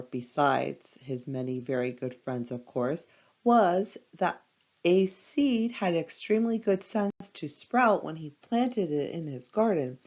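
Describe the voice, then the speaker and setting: Low-pitched woman reading a children's story. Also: darkish tone, dull audio. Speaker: storybook narrator. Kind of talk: scripted children's story narration.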